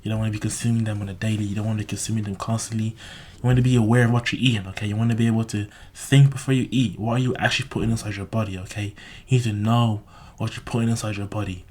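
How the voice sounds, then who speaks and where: A man with a slightly raspy, sore-throated voice giving casual, unscripted advice to viewers, bluntly, with heavy swearing, repetition and frequent "okay?" tags. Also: fairly low pitch, fairly even intonation, racing, a little mellow. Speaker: self-improvement video host.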